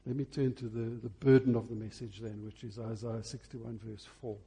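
A man's voice: medium pitch, little pitch movement, moderate pace, gravelly, dark tone, husky. A man preaching a sermon, phrasing emphatically and repeating key words for effect.

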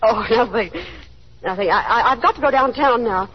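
A woman's voice: fairly high pitch, normal speed, slightly rough. An actress playing a chatty, anxious young small-town woman putting on airs in a 1930s radio drama, with a gushing manner and nervous little laughs. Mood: wistful, brave front. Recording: dull, hissy 1930s radio recording.

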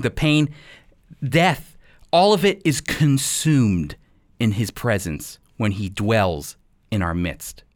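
Guy preaching on a radio bible program, voice a bit on the high side, really earnest, leans on key phrases w pauses. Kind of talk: sermon-like radio Bible teaching.

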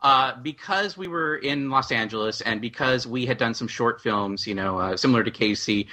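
A man talking casually as a guest producer on a panel, telling stories in an animated way and often doubling back on his phrases. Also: mid-range voice, moderate pace. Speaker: audio drama writer-producer, panel guest.

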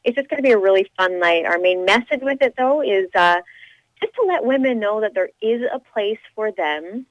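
A woman gives an upbeat, conversational interview over a muffled telephone line. Her voice sits at a medium pitch with little room sound. She stresses her points, often in lists and repetitions. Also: expressive, normal speed, dry recording. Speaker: radio show personality being interviewed as charity event organizer.

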